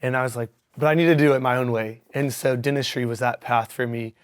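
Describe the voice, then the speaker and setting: A young man with a hoarse, raspy voice from yelling, chatting upbeat and animated as a dentist guest on a local talk show. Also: medium pitch, fast talker. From Missouri Ozarks. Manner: upbeat, animated, many fillers. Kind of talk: casual interview.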